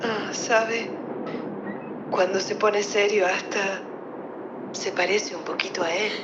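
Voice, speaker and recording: Actress as an anxious wife, muffled recording. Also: female voice, mid-range voice, steady tone, takes it slowly, background noise, lightly acted. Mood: wistful. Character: anxious, sentimental wife missing her husband. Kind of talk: theatrical radio play acting. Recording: dull sound under loud music.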